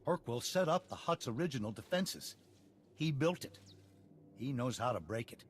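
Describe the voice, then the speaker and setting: An actor playing an earnest leader of a besieged planet, speaking in a formal way. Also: male voice, medium pitch, big pitch swings, moderate pace, close to an everyday voice. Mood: earnest, explanatory.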